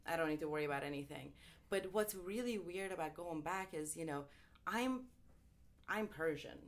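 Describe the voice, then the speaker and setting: Thoughtful young woman from Iran, low-pitched. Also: normal speed. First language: Farsi. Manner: thoughtful, frequent ums and likes, laughs easily. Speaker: interview guest. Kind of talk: casual conversational interview.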